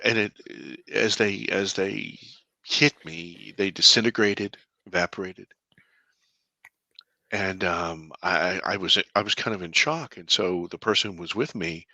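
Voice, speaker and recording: Deep-voiced male interview guest, earnest storyteller, low pitch, bright forceful tone. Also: vocal fry, dry recording. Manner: earnest, halting with restarts, bursts of excitement. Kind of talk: conversational storytelling.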